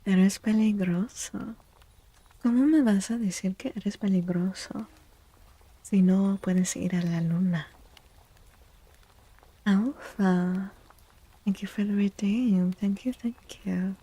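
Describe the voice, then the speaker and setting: A woman speaking in a soft ASMR style as a streamer doing a tarot reading, hushed and often slipping into whispers. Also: medium pitch, steady tone, slow, pauses often, husky, no echo, low-quality recording.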